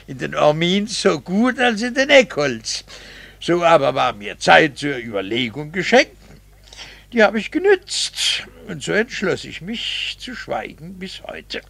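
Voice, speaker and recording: An actor playing a bitter, condescending middle-aged husband in a theatrical 1960s radio drama. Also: male voice, a little high, sing-song, gravelly, a bit bright, no room sound, full character voice. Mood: cold, mocking. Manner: sardonic, theatrical, drawn-out rhetorical phrasing. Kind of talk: 1960s German-language radio drama acting.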